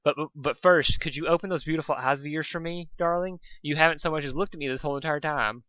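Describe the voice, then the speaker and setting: A man's voice: fairly high pitch, fast, pushed and bright, no echo, lo-fi sound. A man voicing a dramatic, jealous, seductive female pony in a storybook reading, theatrical in manner. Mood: sultry. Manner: theatrical, wailing or sultry.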